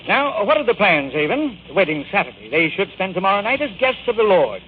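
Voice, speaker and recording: A man playing a pompous, bombastic, domineering magazine publisher in 1940s radio style, grandiose and brisk. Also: high-pitched, very animated. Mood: brisk, commanding. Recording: scratchy, tinny 1940s radio broadcast.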